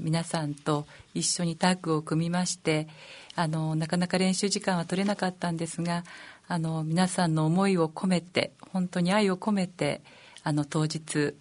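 Hesitant woman guest, low-pitched. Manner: hesitant, filler-heavy. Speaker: amateur performer, programme guest. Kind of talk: polite interview answers.

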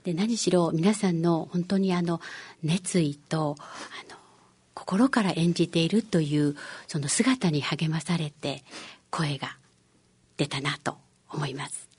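A middle-aged or older woman guest giving polite interview answers in a husky voice. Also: low voice, a little slow, darkish tone. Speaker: amateur narrator, programme guest.

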